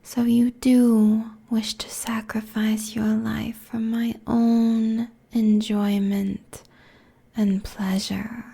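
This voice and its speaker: Slow, whispery succubus queen, acted by a woman. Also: on the high side, warm tone, heavily acted. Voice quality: breathy, whispery, husky. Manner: slow, commanding, sultry, deliberate. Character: seductive, merciless succubus demon queen draining mortal souls. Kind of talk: intimate ASMR roleplay, scripted monologue. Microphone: close binaural microphone.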